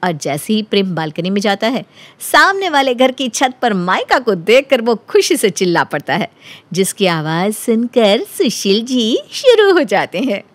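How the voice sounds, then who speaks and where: A female narrator reads a scripted story. Her voice is a little high, and the recording is very dry, with no room sound. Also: very animated, measured pace, dry recording.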